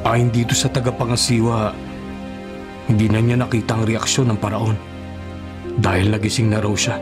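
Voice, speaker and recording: An actor playing an imprisoned royal baker seeking help in a Filipino radio drama, speaking in a measured, respectful way. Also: male voice, lowish voice, little pitch movement, music in the background, close to an everyday voice. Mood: narrating a dream.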